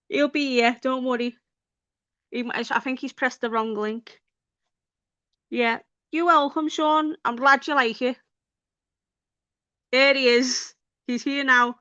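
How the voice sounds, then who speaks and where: A woman's voice: sits high, steady tone, takes it slowly, pauses often, bright, forceful tone, clear, resonant tone, dry recording. A woman with a Liverpool accent hosting a casual live stream, chatty and laughing often as she talks to her viewers. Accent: Liverpool (Scouse). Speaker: livestream host. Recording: dull lo-fi stream audio.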